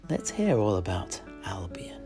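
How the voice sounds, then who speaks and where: A man's voice: low voice, big pitch swings, measured pace, dark tone. A man playing a courteous, scholarly tavern keeper and amateur historian who hosts a fantasy audio drama, speaking in polite, wordy phrases. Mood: scholarly eagerness. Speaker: host. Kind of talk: in-character fantasy audio roleplay. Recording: music behind the voice.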